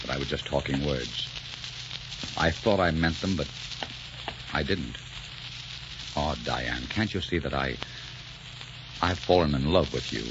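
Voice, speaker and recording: Deep-voiced romantic desert sheik. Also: male voice, unhurried, pauses often, background noise. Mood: tender, regretful. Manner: earnest, melodramatic. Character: romantic, commanding desert sheik hero, male. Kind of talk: 1940s radio acting. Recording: dull 1940s radio recording.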